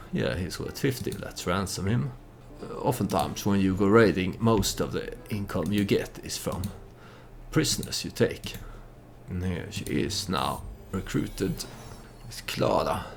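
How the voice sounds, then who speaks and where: A man's voice: sits low, measured pace, gravelly, a little hiss or hum. A man who is not a native English speaker gives casual gameplay commentary with storytelling, in a hesitant, meandering manner. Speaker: let's play host.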